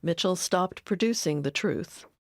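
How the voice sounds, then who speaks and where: A woman's voice: on the low side, unhurried, no echo, studio quality. A woman reading scripted documentary narration as its narrator. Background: music.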